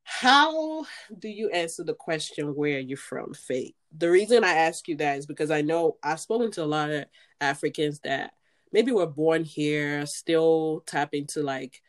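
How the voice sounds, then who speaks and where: A woman from Nigeria hosting a casual interview, chatty and quick to laugh, thinking aloud with restarts as she asks questions. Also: low voice, animated. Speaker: podcast host.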